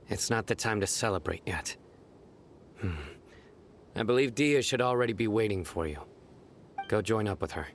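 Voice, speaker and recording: A man playing a stern, composed officer of authority in a video game, serious, measured and commanding. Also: lowish voice, expressive, slight background noise. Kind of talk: video game cutscene acting.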